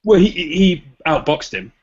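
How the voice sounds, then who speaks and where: A man's voice: fairly high pitch, sing-song, unhurried, husky, lo-fi sound. A man hosting a casual podcast chat, laughing often and hedging his points. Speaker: podcast host. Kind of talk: casual chat about boxing rankings.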